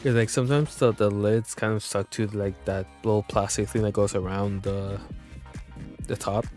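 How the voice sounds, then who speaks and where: A man's voice: lowish voice, steady tone, normal speed, darkish tone. A man streaming a video game with casual, rambling, informal commentary. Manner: informal, rambling, mild swearing. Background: game music.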